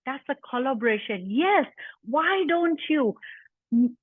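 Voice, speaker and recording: A woman's voice: high voice, lively intonation, measured pace, breathy edge, no room sound. A woman hosting an online business panel, enthusiastic and emphatic as she sums up and reads out questions. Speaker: host. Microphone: video call. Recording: tinny dry phone-like line.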